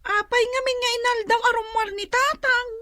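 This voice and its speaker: Tiny squeaky Ilocano kid. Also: female voice, steady tone, moderate pace, pushed and bright, no echo, clean studio sound. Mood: complaining. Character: small, whiny Ilocano child. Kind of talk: comedic radio drama acting.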